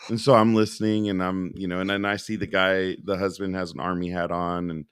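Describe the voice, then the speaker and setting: Animated storytelling man in his forties. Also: low-pitched, brisk, clean tone, dry recording, studio quality. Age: 40s. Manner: animated storyteller, acts out quoted voices. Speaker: podcast co-host. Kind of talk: casual podcast chat.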